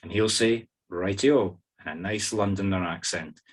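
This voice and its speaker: A Scottish-accented man guides a group through a meditation in a fairly low voice. He gives simple, informal instructions one step at a time. His delivery is conversational, with wry, humorous asides. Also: normal speed, fairly bright tone.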